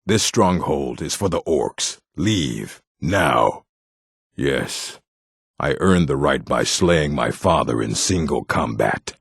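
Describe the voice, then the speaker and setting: An actor playing a gruff, proud orc in a fantasy video game, blunt and stern, with a deep, husky, gravelly voice. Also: male voice, lively intonation, slow pace, no room sound, clean studio sound, full character voice. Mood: hostile, dismissive. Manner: blunt, stern, terse, solemn. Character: gruff, proud, hostile male orc of a stronghold.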